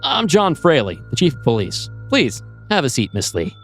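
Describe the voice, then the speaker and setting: A man voicing an older police chief in an audio drama, courteous, measured and politely evasive. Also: fairly high pitch, huge pitch swings, faint music behind. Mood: polite, smiling. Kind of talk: audio drama table-read acting.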